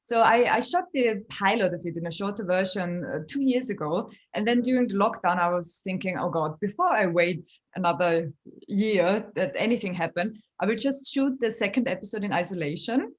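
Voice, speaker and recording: A woman's voice: medium pitch, animated, moderate pace, muffled recording. A woman comedian from Austria, speaking English as a second language in a chatty interview and often laughing as she tells stories. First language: German. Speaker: comedian, guest. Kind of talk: casual interview chat.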